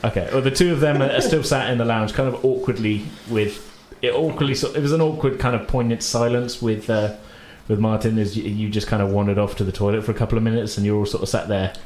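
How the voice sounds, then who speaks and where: A man's voice: fairly low pitch, fast talker, hardly any pauses, a crowd in the background. A British man casually improvising narration as game master, rambling and hesitant as he goes. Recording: roomy echo, faint background noise.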